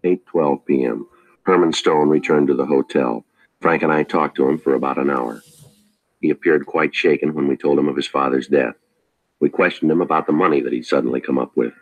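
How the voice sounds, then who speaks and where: A very low-voiced, businesslike police detective from old-time radio drama. He speaks briskly in a husky, deadpan voice, clipped and matter-of-fact whether narrating the case or putting polite questions. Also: no room sound, highs cut off. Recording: vintage 1950s radio broadcast.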